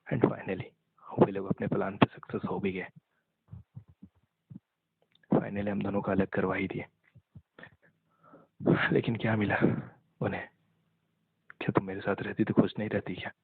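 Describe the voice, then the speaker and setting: Low, husky man on a phone call. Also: level tone, a little slow, long pauses. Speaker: caller talking with an ex-partner. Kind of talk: intimate phone conversation. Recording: muffled narrow-band phone call, echoey.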